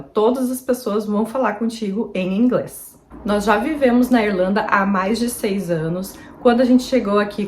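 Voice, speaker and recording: A woman's voice: mid-range voice, a little mellow. Chatty Brazilian woman vlogger. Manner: chatty, earnest, addresses viewers as "gente". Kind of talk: casual advice vlog.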